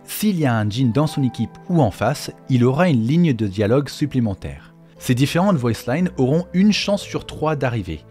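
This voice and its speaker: A man's voice: medium pitch, darkish tone, husky. A man narrating a scripted explainer as the host of a video on game lore. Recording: faint music behind the voice. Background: faint background music.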